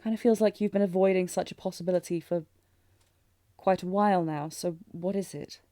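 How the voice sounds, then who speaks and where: A woman's voice: lowish voice, fairly even intonation, brisk, warm tone, no echo, natural voice. A woman playing a tender, anxious young princess in a romantic audio drama, speaking formally and hesitantly with sighs. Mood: subdued, probing. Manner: formal archaic diction, hesitant, breathy sighs. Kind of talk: scripted romantic fantasy audio roleplay, intimate.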